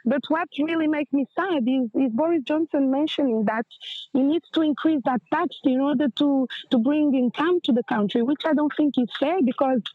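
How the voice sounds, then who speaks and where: A high-pitched woman with a Portuguese accent, speaking with earnest conviction and often stopping to restart a phrase. Her voice comes through a narrow, phone-like line, close and dry with no room sound. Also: dry recording. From Angola, raised in Portugal. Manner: earnest, halting, many restarts. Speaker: guest, community health manager. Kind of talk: podcast interview over a call. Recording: tinny phone-line audio.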